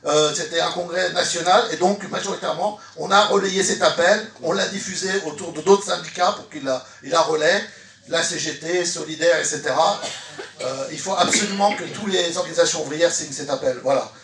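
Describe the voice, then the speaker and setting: A man's voice: fairly high pitch, a little fast, a bit bright. A man speaking as a trade unionist at a public meeting, recorded from a distance in an echoey room. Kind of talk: public meeting speech, partly reading a statement aloud.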